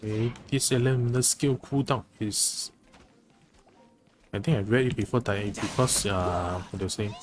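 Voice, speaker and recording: A man's voice: on the low side, steady tone, normal speed. A man giving casual live commentary on a game as a streamer, musing and thinking aloud. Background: faint game music.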